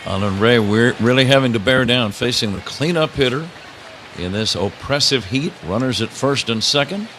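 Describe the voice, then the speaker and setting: A man's voice: medium pitch, normal speed, a bit bright. An older man doing live radio play-by-play of baseball, breaking into casual banter, from a stadium broadcast booth. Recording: clear ambient ballpark noise behind.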